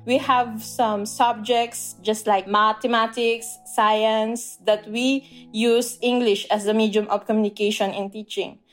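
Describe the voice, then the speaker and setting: A woman's voice: fairly high pitch, level tone, faint music behind. Filipino-accented woman, earnest and explanatory. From Philippines. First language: Tagalog. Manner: earnest, explanatory, frequent "uh" pauses. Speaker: language teacher, guest. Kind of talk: casual interview talk.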